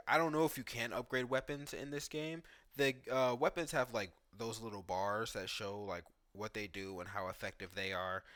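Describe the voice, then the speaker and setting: A man's voice: mid-range voice, sharp tone. A man giving casual reaction commentary on video game footage, talking informally and thinking aloud as a gaming YouTuber.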